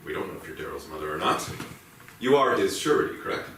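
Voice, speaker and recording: An actor playing a stern, bullying police officer in a staged play reading, curt, commanding and needling. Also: male voice, a bass voice, very animated, quick pace, fairly bright tone, exaggerated voice. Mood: stern, suspicious. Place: theatre stage, indoors. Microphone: distant room microphone. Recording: very echoey theatre, distant mic, faint noise.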